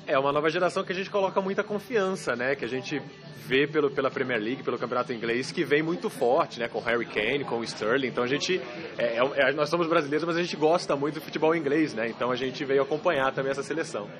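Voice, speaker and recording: A man, a fan interviewed in a pub, answering quickly with lots of fillers and self-deprecating humor. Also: mid-range voice, over music. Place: crowded pub. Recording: busy pub crowd behind.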